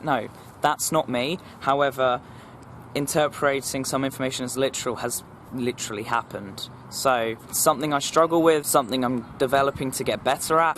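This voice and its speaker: Earnest young Englishman with a formal, even voice. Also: medium pitch, a little hiss or hum. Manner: earnest, repetitive, restarts often. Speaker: vlogger. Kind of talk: personal vlog talking to camera.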